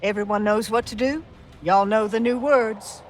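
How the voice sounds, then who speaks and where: A woman's voice: medium pitch, moderate pace, noisy background, lightly acted. An actress playing an insistent, devout female cult leader in a horror video game. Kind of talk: horror video game cutscene acting, chanting. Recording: loud music and crowd over voice.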